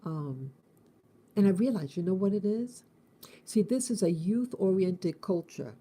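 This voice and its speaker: A woman in her fifties from the United States, hosting a casual morning reflection, thinking aloud with halting restarts and repeated words. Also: medium pitch, lively intonation, dark tone, slightly rough. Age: 50s. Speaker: host.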